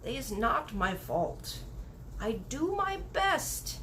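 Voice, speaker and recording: Storybook giant, played by a woman: earnest, gentle, slow and muddled of speech, very lively high intonation. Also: slight background noise, full character voice. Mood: hurt, gentle. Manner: slow, drawn-out, muddled grammar and made-up words. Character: gentle, earnest giant with mangled, childlike grammar. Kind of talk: storybook read-aloud character voice.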